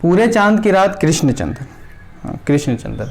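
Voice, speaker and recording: A male teacher giving a classroom lecture at a board, dictating names slowly word by word and answering his own questions. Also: fairly high pitch, sing-song, vocal fry, a little hiss or hum. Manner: asks the class questions and answers them himself.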